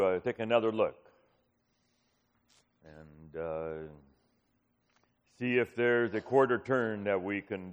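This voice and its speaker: Conversational pastor in an echoing hall. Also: male voice, mid-range voice, clear, resonant tone. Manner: conversational storytelling with frequent "uh" pauses. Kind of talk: sermon with personal stories. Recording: muffled hall recording with long reverb.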